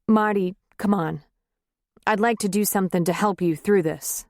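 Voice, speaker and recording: A middle-aged husband, clumsy and pleading, as a woman reads him, gruff one moment and coaxing the next. The voice sits low and plain. The sound is close and dry. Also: moderate pace, darkish tone, no echo, natural voice. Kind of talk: audiobook character reading.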